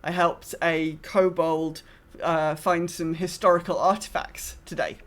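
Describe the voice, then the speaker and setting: A woman's voice: on the low side, level tone, measured pace. A woman co-hosting a gaming podcast in casual chat, rambling and often correcting herself mid-sentence. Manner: rambling, self-correcting, quotes herself in asides. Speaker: podcast co-host.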